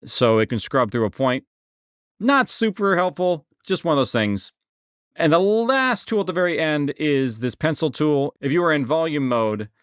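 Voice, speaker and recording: A man narrating a software tutorial in an informal, explanatory way, repeating key words for emphasis. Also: mid-range voice, no echo, clean studio sound. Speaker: software instructor. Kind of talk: casual screen-share tutorial narration.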